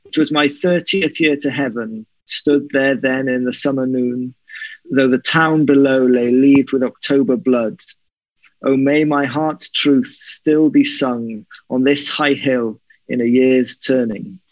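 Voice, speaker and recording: A man's voice: mid-range voice, steady tone, slow pace, no echo. A man with a light Welsh accent reads a poem and then speaks about it in an earnest, reflective way over a video call. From Wales. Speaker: guest. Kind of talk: poetry reading and conversational interview. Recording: slightly muffled dry online call audio.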